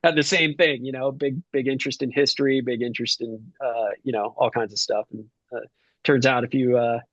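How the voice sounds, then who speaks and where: A man's voice: medium pitch, steady tone, fairly quick, no room sound, highs cut off. Man, middle-aged, podcast guest, rambling, filler-heavy, dry close sound. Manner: rambling, many fillers and restarts. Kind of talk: casual conversation.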